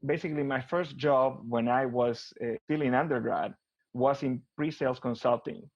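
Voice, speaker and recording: A man with an Argentine accent speaks at an ordinary pitch in a casual interview, often restarting and repeating words as he thinks. The sound is dry with no room echo, and somewhat muffled. His delivery is conversational and unscripted. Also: normal speed, vocal fry, a little mellow. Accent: Argentine Spanish. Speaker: guest, business consultant.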